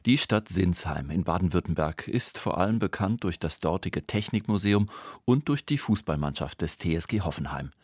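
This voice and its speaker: A man's voice: low-pitched, lively intonation, normal speed, a little mellow, dry recording. A man presenting a radio programme, reading a scripted introduction in a studio.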